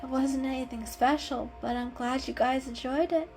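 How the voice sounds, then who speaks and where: A woman voicing a gentle, earnest female kitty, modest and reassuring, in a children's story reading. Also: high-pitched, fairly even intonation, moderate pace, slight background noise, voice pushed up. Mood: bashful.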